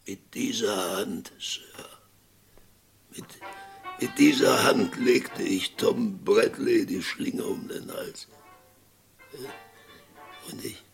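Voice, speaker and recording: An actor playing a guilt-tormented Western sheriff in a 1960s radio play, halting and anguished, with a breathy, gravelly voice that often drops into whispers. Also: male voice, fairly low pitch, heavily acted. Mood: haunted, tormented. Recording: 1960s radio drama with music underneath.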